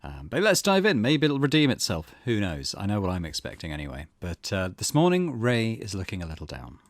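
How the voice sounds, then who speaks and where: A man gives casual gaming commentary in a slightly low voice with a lively, swooping pitch. He muses aloud in a chatty way, recorded dry and close to the microphone. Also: a little fast, no echo. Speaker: gaming video host. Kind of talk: casual let's play commentary.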